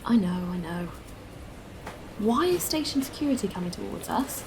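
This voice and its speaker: A woman playing a kind, anxious, protective space traveller in an audio drama, earnest and expressive. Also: mid-range voice, moderate pace, noisy background, natural voice. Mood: worried.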